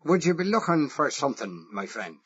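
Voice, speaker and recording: A man voicing a stocky middle-aged Irish foreman with a deep, rough, no-nonsense voice. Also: big pitch swings, fairly quick, fairly bright tone, no echo, full character voice. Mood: gruff suspicious. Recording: dull lo-fi recording.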